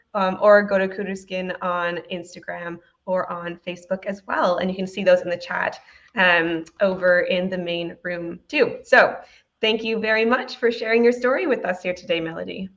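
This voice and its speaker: A woman hosting a virtual pitch event, upbeat and friendly, with frequent ums, speaking over a video call. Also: fairly bright tone, dull audio. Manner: upbeat, friendly, laughs easily, filler ums. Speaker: event host.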